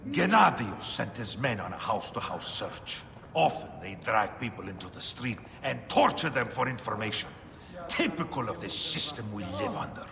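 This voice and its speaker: Gravelly, worldly informant in echo. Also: male voice, mid-range voice, very animated, moderate pace, a crowd in the background. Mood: bitter, grave. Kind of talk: dramatic video game cutscene acting. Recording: reverberant hall, clear background noise.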